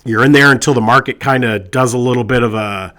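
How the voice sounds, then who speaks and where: Hoarse realtor with a cold. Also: male voice, medium pitch, fast, bright, forceful tone. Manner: conversational, chatty and reassuring, with many fillers. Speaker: real estate agent. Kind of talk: casual advice talk to camera. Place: indoors with a colleague present.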